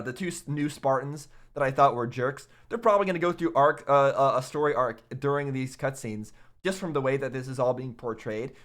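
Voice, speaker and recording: A man's voice: medium pitch, fairly bright tone. A man giving casual, off-the-cuff reaction commentary as host, thinking aloud in a rambling way and repeating himself.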